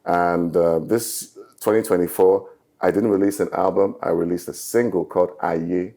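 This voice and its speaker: A middle-aged man from Nigeria whose first language is Yoruba, talking in an interview as a musician guest in an earnest, upbeat way with short measured phrases. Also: sits low, steady tone, warm tone. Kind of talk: reflective interview talk.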